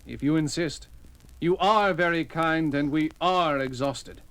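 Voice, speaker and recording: An actor playing an earnest, proud young baron on the run, formal and melodramatic, in a 1970s horror audio drama. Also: male voice, fairly high pitch, a little slow, very noisy. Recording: dramatic music behind the voice. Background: music.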